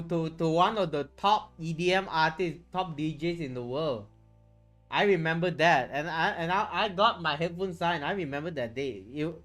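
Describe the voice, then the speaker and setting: Music plays behind a streamer with a Malaysian accent and a medium-pitched voice. The talk is casual and rambling, with phrases repeated and restarted as the thoughts come. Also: fairly bright tone. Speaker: livestreamer. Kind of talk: casual livestream chat. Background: background music.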